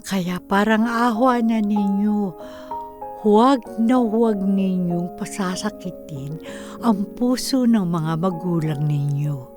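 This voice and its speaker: A woman acting as a solemn radio drama narrator speaks very slowly and deliberately. She consoles and counsels in an inspirational tone, with music clearly playing underneath. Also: medium pitch, lightly acted. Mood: solemn, consoling. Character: solemn consoling female narrator counselling listeners about family and faith.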